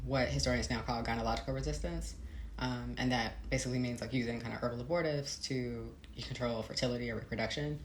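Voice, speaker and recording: An American woman from the Midwest being interviewed as an author, explaining conversationally with hesitant pauses and hedges. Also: a bass voice. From Midwestern United States. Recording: roomy echo, faint background noise.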